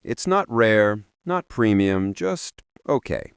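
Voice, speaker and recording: A man's voice: lowish voice, big pitch swings, slow pace, vocal fry, smooth, no echo, studio quality, heavily acted. A man voicing a blunt, dismissive market dealer in a storybook tale, pondering as he appraises. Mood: appraising.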